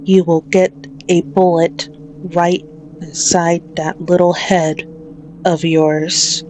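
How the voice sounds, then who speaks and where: A menacing female mafia boss, acted by a woman, speaks extremely slowly in a slightly low register. She is mocking, condescending and possessive, in the manner of an ASMR roleplay villain. There is noticeable noise and ambience behind her voice. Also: little pitch movement, a little mellow, rough recording. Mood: cold, vengeful.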